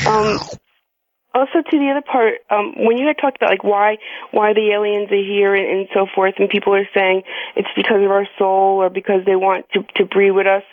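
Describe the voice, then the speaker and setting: A woman's voice: mid-range voice, level tone, moderate pace, no room sound. American woman caller on a tinny phone. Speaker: radio show caller. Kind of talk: casual chat. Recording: tinny phone line on radio.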